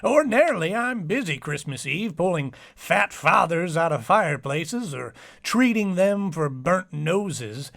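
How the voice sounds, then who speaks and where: A man's voice: high-pitched, big pitch swings, unhurried, creaky, few pauses, a bit bright, no echo, studio quality, full character voice. A man playing a pompous doctor who trades insults in an old-time radio comedy, florid, mock-formal and needling. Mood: wry.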